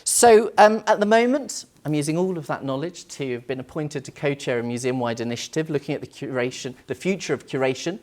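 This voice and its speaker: A man's voice: a little high, expressive, brisk, hardly any pauses, a little mellow. Earnest man speaking as a museum curator. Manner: earnest and reflective, rhetorical questions. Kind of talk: panel talk.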